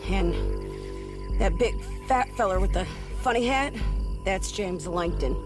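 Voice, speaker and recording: An actor playing a wry, cocky frontier bounty hunter in a video game, speaking casually with a gritty American voice and folksy turns of phrase. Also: male voice, sits high, a little slow, music in the background. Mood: matter-of-fact, coaxing. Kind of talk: video game cutscene acting.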